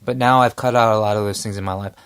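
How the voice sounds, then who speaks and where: A man in his forties co-hosting a podcast, chatting casually with a relaxed, joking manner. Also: lowish voice, fast talker, smooth. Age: 40s. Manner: relaxed, self-deprecating, joking. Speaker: podcast co-host. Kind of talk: casual chat.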